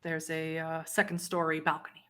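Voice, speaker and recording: A woman's voice: sits low, completely flat delivery, normal speed, a bit bright, clean tone. A woman voicing a desperate, unkempt vampire runaway who speaks warily and insistently in a tabletop roleplay game. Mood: conspiratorial.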